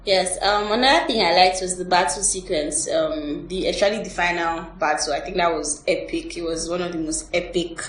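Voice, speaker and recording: A woman's voice: on the low side, moderate pace, few pauses. A woman hosting a movie review podcast, talking to her guests and sharing her own views. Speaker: podcast host. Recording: roomy, distant mic, background noise.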